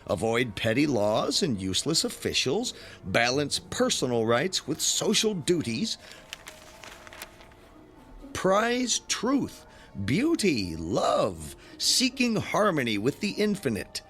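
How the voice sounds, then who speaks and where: Radio drama skeptic: flippant, exasperated everyman, highly expressive range, dry sarcasm. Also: takes it slowly, faint music behind, exaggerated voice. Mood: mocking, defiant. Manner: wry, sardonic delivery. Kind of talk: modern radio drama acting.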